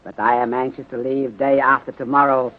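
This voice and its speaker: A man playing a courteous, methodical Chinese detective inspector in 1930s radio drama style, speaking formally in clipped phrasing with deliberate pauses and dropped articles. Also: mid-range voice, level tone, natural voice. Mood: polite, assured. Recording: muffled, narrow-band 1930s radio recording.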